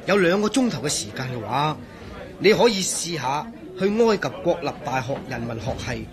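An actor playing an earnest male engineer in a Cantonese radio drama, with a Hong Kong accent and an emphatic, expressive way of talking. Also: on the high side. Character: earnest, regretful male engineer in charge of waterworks.

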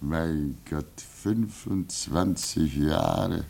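An actor playing a gentle, patient older man at a lonely polar station in a radio play, speaking fondly and musingly. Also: very deep voice, drawn-out words, gravelly, husky, heavily acted. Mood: musing, fatherly. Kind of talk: old German radio play acting.

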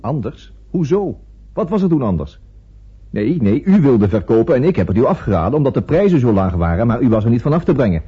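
Male actor, unyielding businessman, formal, argumentative, lively pitch, lo-fi radio drama recording. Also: fairly quick, lightly acted. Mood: smugly dismissive. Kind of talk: Dutch radio play acting. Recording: muffled lo-fi old radio recording, hiss.

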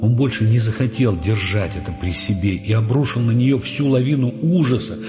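A man narrating a literary audio play in Russian with measured, suspenseful storytelling. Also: medium pitch. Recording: muffled studio track with music behind. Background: prominent music.

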